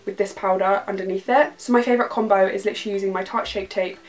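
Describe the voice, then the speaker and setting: Young British woman beauty vlogger, slightly croaky, effusive and chatty, casual bedroom review to camera. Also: medium pitch, steady tone, quick pace. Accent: British English. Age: young adult. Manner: gushing, enthusiastic, repeats words for emphasis. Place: bedroom, indoors.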